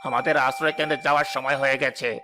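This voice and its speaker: A man's voice: mid-range voice, flat delivery, rapid-fire, natural voice. A man voicing a greedy, callous village headman in a cartoon moral story, scornful and domineering. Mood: angry, impatient. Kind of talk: animated moral story voice acting. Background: loud background music.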